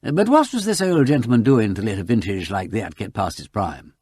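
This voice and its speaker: A man voicing a breezy, urbane aristocratic gentleman with a clipped, polite upper-class English accent in an audiobook. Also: fairly low pitch, animated, fairly quick, slightly rough, no echo, clean studio sound. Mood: polite, amused.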